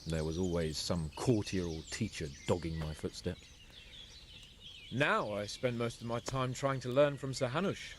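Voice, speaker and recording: A man's voice: lowish voice, moderate pace, a little hiss or hum, close to an everyday voice. An actor playing an imperious, teasing young nobleman with a British accent in a video game cutscene. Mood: haughty, matter-of-fact. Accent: British English. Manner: imperious, mocking, aristocratic. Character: haughty, teasing young nobleman, not yet of age.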